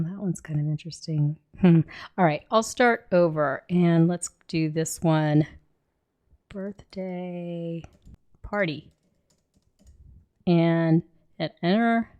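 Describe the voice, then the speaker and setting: A woman with a cold and a hoarse voice, talking through a screen-recorded software tutorial and thinking aloud as she clicks. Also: on the low side. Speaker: illustrator teaching software.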